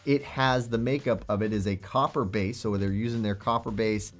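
A man's voice: on the low side, fairly even intonation, normal speed. A young man hosting a product review to camera, chatty and enthusiastic in a salesman way, with faint music behind him. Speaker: host.

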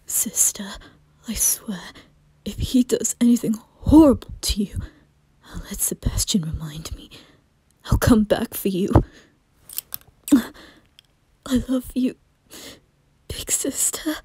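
A woman's voice: on the high side, animated, slow, pauses often, low-quality recording. A woman voicing an earnest, commanding young boy earl in an anime ASMR roleplay, intense and protective. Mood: desperate, tender.

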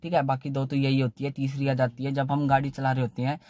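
A man's voice: mid-range voice, completely flat delivery, fast talker, darkish tone, no echo, clean studio sound. A young man explains driving tips in a loose, repetitive way, saying "theek hai" again and again, recorded on a phone inside a parked car. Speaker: car channel host. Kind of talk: casual how-to talk in a parked car.

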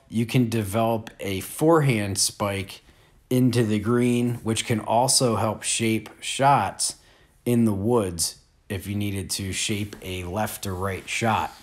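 Slow-talking man explaining drills. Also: on the low side. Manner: relaxed, unhurried explaining with fillers. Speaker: disc golf instructor. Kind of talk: casual instructional voice-over. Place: outdoor field.

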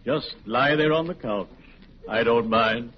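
An actor playing a courtly, morbidly cheerful undertaker in a solemn, genteel manner for a 1940s radio comedy. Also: male voice, medium pitch, lightly acted. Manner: solemn, genteel, drawn-out delivery. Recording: muffled, noisy 1940s radio, audience behind.

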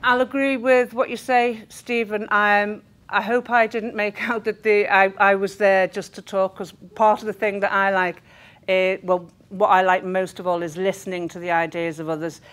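A woman's voice: medium pitch, level tone, moderate pace, a bit bright. Middle-aged woman giving an earnest informal talk. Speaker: group organiser speaking to trainees. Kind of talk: informal training talk to a group. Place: meeting room, indoors. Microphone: clip-on lapel mic.

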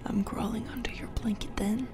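A woman voicing a teasing, possessively affectionate female sleep paralysis demon in an ASMR roleplay, with a breathy, playfully menacing whisper. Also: a little high, steady tone, moderate pace, natural voice. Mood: coaxing, seductive. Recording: music behind the voice.

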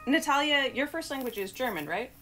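A woman in her thirties hosting a casual word quiz over a video call, bubbly and quick to laugh, with lots of filler words, on a headset mic in a small room. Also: sits high, expressive, fairly bright tone, faint music behind. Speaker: host. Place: small room with blue backdrop.

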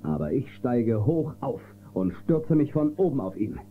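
A man playing a calm, brave space-western marshal with a measured, reassuring manner in a German children's audio drama. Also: medium pitch, lightly acted. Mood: resolute. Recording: tinny, noisy old cassette recording.

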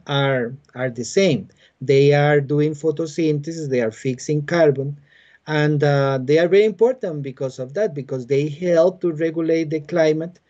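A man in his forties from Costa Rica with a Spanish accent, a biologist calmly explaining his work in a halting way with many fillers, speaking from home on a video call. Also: fairly high pitch. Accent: Costa Rican Spanish. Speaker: biologist and university teacher. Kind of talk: explanatory interview answers. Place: home room with bookshelves. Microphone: laptop webcam on a video call. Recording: muffled video call audio.

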